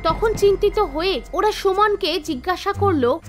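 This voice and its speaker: A woman narrating a scripted animated folktale as a storyteller. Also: extremely high pitch, moderate pace. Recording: background music behind voice. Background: soft music.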